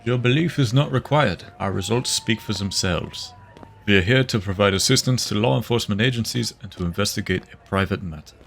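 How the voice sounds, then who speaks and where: A man's voice: on the low side, a little fast, gravelly, a bit bright, slight background noise. Man acting as a dry, guarded investigator. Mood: aloof. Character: guarded, matter-of-fact male psionic investigator. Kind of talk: audio drama acting.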